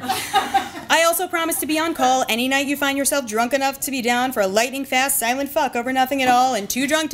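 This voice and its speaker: A woman giving a live comic reading of humorous personal essays at a bar, in a dry, deliberate delivery. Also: sits high, a bit bright, a little hiss or hum. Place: bar reading event before an audience.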